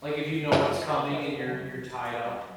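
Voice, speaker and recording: Mężczyzna w rozmowie, mocne echo pokoju. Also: male voice, medium pitch, fairly even intonation, brisk. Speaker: table read participant discussing the script. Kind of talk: casual group discussion. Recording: echoey room, distant mic, background noise.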